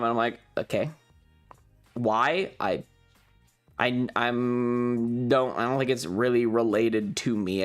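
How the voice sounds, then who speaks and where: A streamer with an androgynous voice chats through a casual story over background music. The delivery is slow and rambling, with an exasperated manner and a voice that is starting to give out a little. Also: medium pitch, fairly bright tone. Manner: rambling, exasperated, acts out quoted dialogue. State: voice slightly going.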